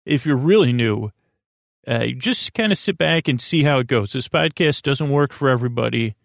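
A man hosting a sleep podcast with a creaky voice, meandering haltingly and often interrupting and repeating himself in a bedtime intro. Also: medium pitch, expressive, no echo, clean studio sound. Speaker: podcast host.

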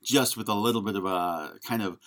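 A man's voice: on the low side, a little fast, a bit bright. A man hosting a podcast, chatting casually about film in a jokey, self-deprecating way. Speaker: podcast host.

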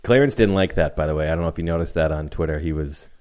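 A man with a very low voice trades relaxed, teasing banter about sports with his co-hosts. He speaks loosely, stopping and restarting as the thought comes. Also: quick pace. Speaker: podcast co-host. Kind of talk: casual sports chat.

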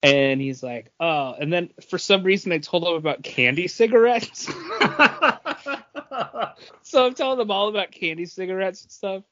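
A man's voice: sits high, normal speed, sharp tone, muffled recording. A man co-hosting a podcast, telling anecdotes in casual chat. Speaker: podcast co-host.

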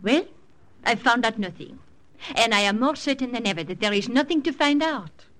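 A woman's voice: a little high, lively intonation, normal speed, fairly bright tone. An actress in a 1940s radio drama playing a flirtatious French woman with a French accent, teasing and lilting. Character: coy French woman, teasing spy helper.

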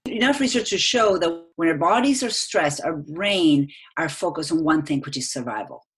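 Low-voiced Argentine woman. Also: lively intonation, moderate pace. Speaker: guest. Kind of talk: conversational interview answers.

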